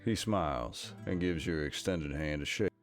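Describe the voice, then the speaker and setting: A man's voice: rumbling low, normal speed. A man reading scripted second-person story narration for a western visual novel. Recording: guitar music behind the voice. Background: plucked guitar music.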